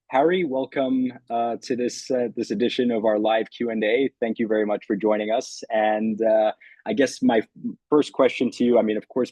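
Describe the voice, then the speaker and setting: A man's voice: lowish voice, warm tone, no echo, poor audio. A man hosting a live online Q and A, talking in a hesitant, rambling way. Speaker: host.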